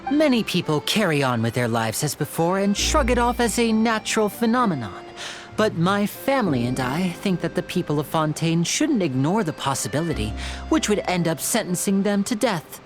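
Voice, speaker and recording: A composed, earnest young woman in a video game. Her voice sits low, with expressive rises and falls and an articulate, mysterious, theatrical delivery.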